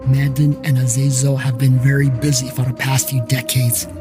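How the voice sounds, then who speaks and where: A man's voice: medium pitch, level tone, normal speed. Male storyteller with music behind. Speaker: narrator of a fiction podcast. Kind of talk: first-person scripted story narration.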